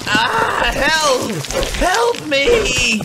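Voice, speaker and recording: An actor playing a panicky, self-deprecating wounded soldier in a fantasy audio drama. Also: male voice, extremely high pitch, big pitch swings, very slow, heavily acted. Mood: frantic. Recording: noisy outdoor battle ambience.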